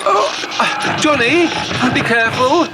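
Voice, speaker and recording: Genteel Victorian wife, lively intonation. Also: female voice, high-pitched, slow pace, over loud music, poor audio. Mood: nervous. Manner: formal, old-fashioned, precise phrasing. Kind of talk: horror audio drama acting.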